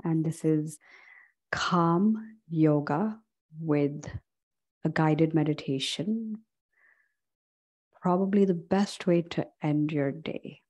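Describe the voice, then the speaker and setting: Female meditation guide, low-pitched and very slow, gentle, leaving long pauses. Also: muffled recording. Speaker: yoga and meditation instructor.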